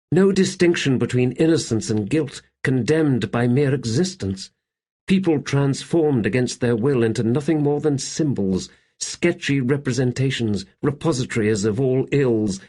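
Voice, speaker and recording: A man's voice: mid-range voice, a little slow, warm tone, slightly rough, pitched above the performer's own voice. Man voicing an anguished woman warrior. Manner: anguished, questioning inner voice. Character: grieving woman soldier, shaken and bitter. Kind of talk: audiobook character voice.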